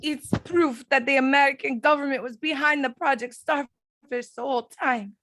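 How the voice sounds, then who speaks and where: Very high, bright young woman acted out. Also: fairly even intonation, normal speed. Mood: grave, urgent. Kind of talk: table-read acting.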